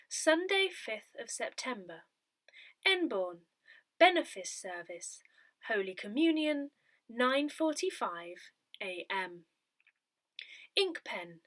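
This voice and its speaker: A woman reading a parish newsletter and its notices aloud from a script, measured and careful with each detail. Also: fairly high pitch, a bit bright. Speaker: reader of a parish newsletter audio edition.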